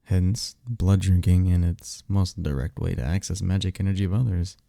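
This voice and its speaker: Man playing a deep, creaky-voiced vampire mentor. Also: steady tone, moderate pace, dark tone, no room sound, studio quality. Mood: wry, reflective. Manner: slangy, offhand, teasing, sweary. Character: cocky, easygoing male vampire mentor, former archmage. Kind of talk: casual conversational roleplay audio acting.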